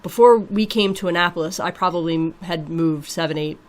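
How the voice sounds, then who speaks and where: A woman's voice: on the low side, fairly quick. A woman in her late thirties from Maryland, hosting a casual interview and chatting with loose asides and the odd swear. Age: late 30s. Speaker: podcast host.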